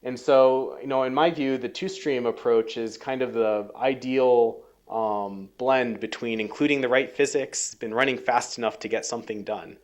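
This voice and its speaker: A man giving a technical research lecture, halting and full of ums and restarts, his voice a little worn. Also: medium pitch, fairly even intonation. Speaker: scientist giving a research talk.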